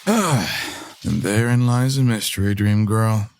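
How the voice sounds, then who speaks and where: An actor playing a sly, menacing crook with theatrical hardboiled patter in a comedy adventure audio drama. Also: male voice, on the low side, takes it slowly. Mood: sly, conspiring.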